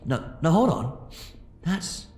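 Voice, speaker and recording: A man playing a quick-witted, commanding, eccentric time-travelling adventurer in an audio drama. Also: on the high side, very animated, darkish tone, roomy sound, faint music behind. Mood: intrigued. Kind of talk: full-cast audio drama acting.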